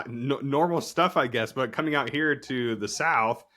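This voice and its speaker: A man's voice: mid-range voice, slow pace, sharp tone. A man from Utah hosting a podcast interview, speaking in an easygoing, thoughtful way with some hesitations. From Utah, USA. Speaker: podcast host. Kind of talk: conversational interview.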